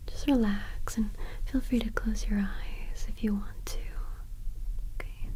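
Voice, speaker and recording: Girl whispering asmr roleplay, soft n breathy, gentle and reassuring, kinda casual and hesitant. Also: medium pitch, low-quality recording. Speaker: ASMR performer playing a witch doing an energy cleanse.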